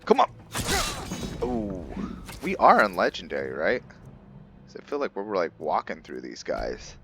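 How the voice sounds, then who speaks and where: A man's voice: deep voice, wildly swooping pitch, a little slow, creaky, a bit bright, slight background noise. A man giving casual gameplay commentary as a let's player, thinking aloud in short bursts.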